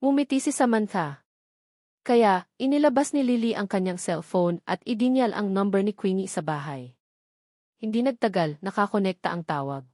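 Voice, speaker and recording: A woman's voice: medium pitch, normal speed, darkish tone, no echo, studio quality. A woman reading a scripted novel aloud as an audiobook narrator.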